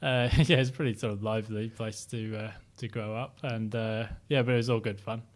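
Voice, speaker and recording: A middle-aged man from Sheffield with a softened northern English accent, talking as an interview guest, reflective and full of ums and restarts. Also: fairly low pitch, steady tone, fairly quick. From Sheffield, South Yorkshire, England. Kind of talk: casual interview chat.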